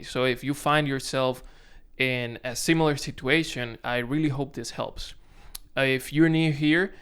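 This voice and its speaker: A man's voice: mid-range voice, fairly bright tone, clear, resonant tone. Resonant Dominican man talking to camera. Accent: Dominican Spanish. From Dominican Republic. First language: Spanish. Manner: self-conscious, halting, frequent restarts. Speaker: content creator. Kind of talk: casual talk to camera. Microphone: camera on tripod.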